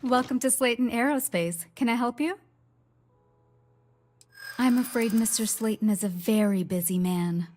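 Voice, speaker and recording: A woman's voice: a little high, measured pace, pauses often, a little mellow, slight background noise, lightly acted. An actress playing a polite, professional female receptionist.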